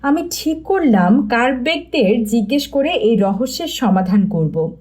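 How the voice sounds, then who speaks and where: A woman narrating a scripted story as an audiobook reader, in an expressive storytelling manner. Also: on the high side, a little slow.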